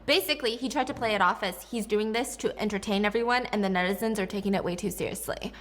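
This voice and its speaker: A woman narrating a commentary video in a brisk, upbeat scripted delivery. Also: medium pitch.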